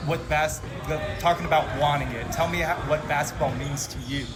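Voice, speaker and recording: A man's voice: fairly high pitch, fairly even intonation, fairly quick, over a noisy crowd. A man interviewing a player at a basketball camp, asking brief questions that sometimes stumble and restart.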